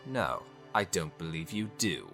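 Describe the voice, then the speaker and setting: An actor playing a menacing, zealous high cleric in a dark fantasy drama, gravelly and coldly formal, speaking slowly and deliberately. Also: male voice, big pitch swings, full character voice. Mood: cold menace. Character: menacing zealous male high cleric devoted to his goddess. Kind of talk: dark visual novel audio drama acting. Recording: music under the voice. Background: music.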